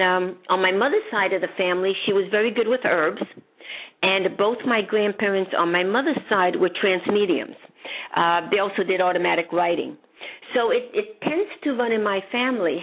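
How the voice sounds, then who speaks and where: Chatty rambling woman from hungary, psychic guest, tinny phone audio. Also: mid-range voice. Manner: rambling, chatty, laughs often. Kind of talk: casual interview chat.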